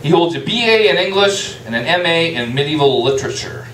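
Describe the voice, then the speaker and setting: A man acts as master of ceremonies at a live event, talking in a playful, hyping way. His voice sits a little high and moves around animatedly. He is picked up from a distance in an echoing room. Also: lively intonation, brisk, a bit bright. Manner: playful, jokey hype. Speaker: event host introducing a guest author. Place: indoor venue in a restaurant. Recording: roomy live venue, distant mic, faint noise.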